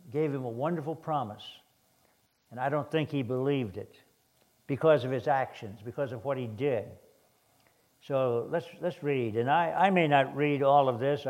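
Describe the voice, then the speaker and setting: An older man with a hoarse voice, preaching a sermon in a halting way with many restarts and fillers. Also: mid-range voice, darkish tone, muffled recording. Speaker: guest preacher.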